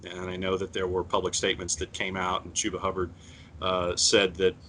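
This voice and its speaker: A man co-hosting a sports podcast, talking in a measured way with many fillers and restarts. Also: low-pitched, completely flat delivery, bright, forceful tone, a little hiss or hum. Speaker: podcast co-host. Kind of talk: casual sports talk.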